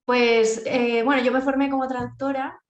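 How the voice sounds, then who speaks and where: Interview guest: woman, Spain accent, fairly high voice, conversational, recorded in a reverberant room. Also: little pitch movement, normal speed, dull audio. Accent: Spanish from Spain. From Castilla-La Mancha, Spain. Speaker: interview guest, freelance project manager. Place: indoors at home, echoey room.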